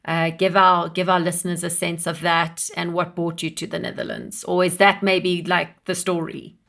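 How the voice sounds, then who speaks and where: A woman's voice: on the low side, little pitch movement, moderate pace, creaky, a bit bright. A woman hosting an interview podcast, prompting her guest in an encouraging, conversational way. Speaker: podcast host.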